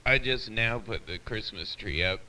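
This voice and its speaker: A man's voice: fairly low pitch, moderate pace. A man chatting casually over CB radio in a plain-spoken, slightly grumbling way, with a distant, hissy sound. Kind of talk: casual chat. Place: on a CB radio live feed.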